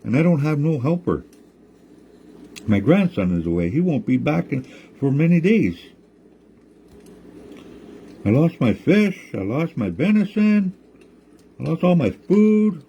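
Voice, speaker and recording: A heartbroken, very old grandmother cries out in a high, strained voice, voiced by a male storyteller. Her lament has a gravelly grain and rises in pleading bursts. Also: big pitch swings, a little slow, pauses often, warm tone, muffled recording, slight background noise, full character voice. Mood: distraught. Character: heartbroken ancient grandmother, upset.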